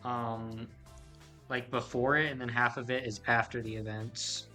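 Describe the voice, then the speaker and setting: A man with a mid-pitched voice argues bluntly on a gaming podcast. He often stammers through repeated starts before making his point. Faint music sits underneath. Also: level tone, measured pace, bright, forceful tone. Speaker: podcast co-host. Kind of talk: casual podcast chat.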